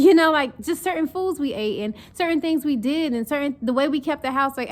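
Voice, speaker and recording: A young woman from North Carolina with a Southern accent mixed with northern speech, chatting casually and animatedly to camera as a vlogger. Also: high-pitched. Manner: chatty, animated, acts out mocking quotes.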